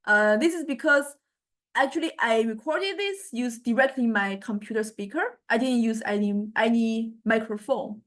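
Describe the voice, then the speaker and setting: A woman with a Chinese accent giving an informal software tutorial as a lecturer, speaking haltingly with repeated words and frequent checking tags like okay and right. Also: on the high side. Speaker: university lecturer in music technology. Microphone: small condenser microphone.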